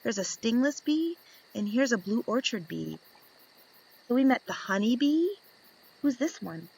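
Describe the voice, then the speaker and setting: A woman reads a children's picture book aloud, taking her time over each page. Her voice swoops up and down with lively expression. She plays with the story and keeps asking her young listeners questions. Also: a little high, a little slow, creaky, husky, rough recording.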